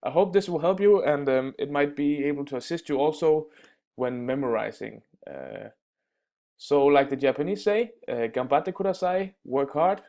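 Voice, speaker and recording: A man teaching everyday phrases in a casual spoken lesson, pausing often with fillers and restarts. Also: on the high side, mellow. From Denmark. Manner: halting, many fillers and restarts. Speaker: language teacher.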